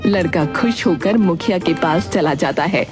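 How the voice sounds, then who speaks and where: A woman tells a scripted story for children as the narrator of a cartoon. Music plays clearly behind her voice. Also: fairly low pitch, a little slow. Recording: prominent music bed under voice.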